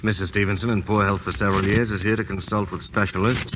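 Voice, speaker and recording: Man acting wry govt investigator, lowish clipped voice, noisy old radio drama recording. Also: steady tone, quick pace, lightly acted. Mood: wry, teasing. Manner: clipped, matter-of-fact. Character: wry, brisk government investigator husband.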